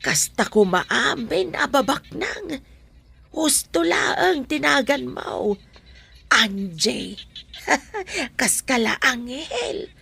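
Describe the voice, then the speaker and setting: An actress playing a humble, grateful older mother in a radio drama, deferential and effusive, with a creaky, husky voice. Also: high-pitched, sing-song, extremely slow, pushed and bright, exaggerated voice. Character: humble, grateful poor elderly mother of the groom.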